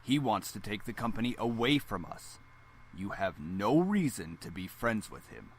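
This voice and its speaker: A man's voice: on the low side, very animated, moderate pace, husky, heavily acted. A man voicing a stern, curt father and company boss in a visual novel. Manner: curt, commanding.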